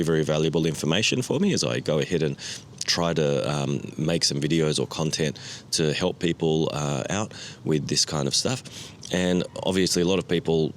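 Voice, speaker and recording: Super deep-voiced guy hosting his own podcast, chatty and rambly, lots of uhs, slight rumble behind. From foreign resident of Japan. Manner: relaxed, rambling, many "uh" and "you know" fillers. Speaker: podcast host. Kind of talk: casual solo podcast talk.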